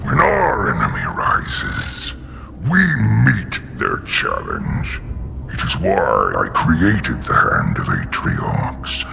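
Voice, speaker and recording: Monstrous, gravel-deep alien warlord. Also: male voice, wildly swooping pitch, background noise, heavily acted. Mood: menacing, proud. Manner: grandiose, deliberate villain oratory. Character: menacing old alien warlord, huge and proud. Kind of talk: dramatic video game cutscene acting. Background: dramatic score.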